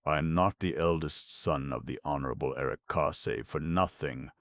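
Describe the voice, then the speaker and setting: A tipsy young lordling drawls and swaggers, shouting insults in a raised, blustering voice, put on by a man reading a storybook. The gravelly texture of a deep reader shows through. It is a close, dry recording. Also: slow, no echo, heavily acted. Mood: drunken bluster. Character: tipsy young male nobleman, drawling, boastful, quarrelsome.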